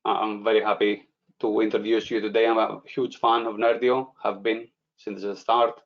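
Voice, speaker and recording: Man, webinar host, halting delivery, frequent fillers, muffled audio. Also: fairly low pitch, flat delivery, creaky. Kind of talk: webinar presentation.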